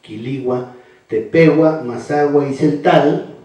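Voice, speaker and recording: An older man giving a measured formal speech as a panelist, often ending phrases with a questioning "no". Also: a little high, dark tone. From Mexico. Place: large hall. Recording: echoey hall, voice from far off.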